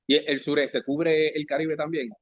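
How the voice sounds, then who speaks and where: A man's voice: a little high, flat delivery, no room sound. Chatty man hosting a podcast. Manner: conversational, halting, full of fillers and restarts. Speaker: podcast host. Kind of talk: casual interview hosting. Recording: dry, slightly dull podcast audio.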